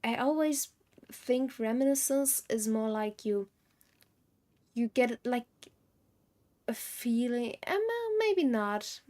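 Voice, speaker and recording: A woman's voice: a little high, animated, slow, long pauses, mellow. A woman game streamer giving casual live commentary, musing and thinking aloud.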